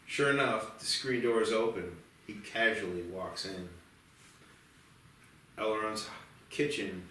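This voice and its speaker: A man narrates a screenplay in a fairly low voice. He sits back from the microphone in a very echoey room, with faint noise, reading scene descriptions as scripted narration. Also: slow, bright, forceful tone, distant mic. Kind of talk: scripted narration at a table read.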